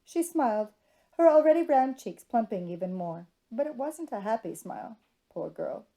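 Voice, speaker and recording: A woman's voice: a little high, sing-song, normal speed, dark tone. A woman reading scripted fiction narration from a storybook.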